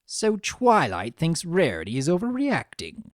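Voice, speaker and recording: A woman's voice: low voice, huge pitch swings, measured pace, no echo, clean studio sound, pitched above the performer's own voice, heavily acted. A woman voicing a sly male chimera trickster from a storybook, theatrical, drawling, sardonic and mock-innocent. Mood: feigned casual.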